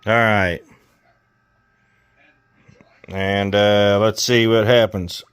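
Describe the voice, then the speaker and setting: A man's voice: on the low side, level tone, very slow, a bit bright, clear, resonant tone. A man hosting a hands-on repair video in a casual, folksy, jokey way with colloquial wording. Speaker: host of a CB radio repair video.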